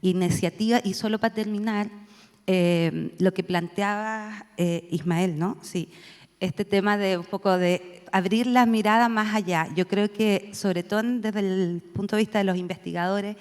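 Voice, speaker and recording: A woman's voice: medium pitch, steady tone, unhurried, a little mellow. A Chilean woman speaking as a panelist and policy analyst, offering thoughtful, searching remarks with frequent filler sounds. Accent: Chilean Spanish. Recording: long reverb from a hall.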